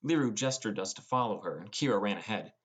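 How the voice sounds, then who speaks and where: A man's voice: lowish voice, expressive, fast talker, husky. A man narrating a scripted first-person fantasy audiobook as a teenage boy gamer.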